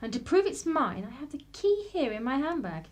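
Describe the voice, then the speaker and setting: A woman's voice: high-pitched, sing-song, normal speed. A woman playing a polite, composed lady with a secretive past in a radio drama, genteel and wry. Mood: polite, reflective.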